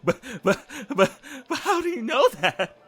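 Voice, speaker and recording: A man's voice: very high-pitched, very animated, unhurried, a bit bright, exaggerated voice. A man playing a cocky, crude young prisoner in an audio drama sketch, with a brash, theatrical, sarcastic manner. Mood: panicked disbelief.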